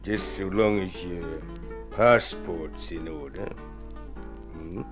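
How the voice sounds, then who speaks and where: Slow-talking, shady fixer. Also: male voice, deep voice, soft background music. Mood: smooth probing. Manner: insinuating, chuckling, hard-boiled. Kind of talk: radio drama acting. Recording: old radio drama with musical backing.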